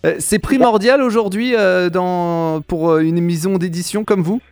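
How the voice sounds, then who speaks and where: A man's voice: high voice, unhurried, a little mellow, clear, resonant tone. A man interviewing a guest, asking brief questions with short acknowledgements in between.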